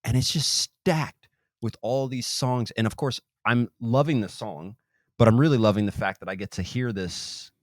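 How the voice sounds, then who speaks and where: A man's voice: medium pitch, normal speed, dry recording, studio quality. A man hosting a podcast, talking casually with many restarts and half-finished phrases. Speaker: podcast host. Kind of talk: casual interview chat.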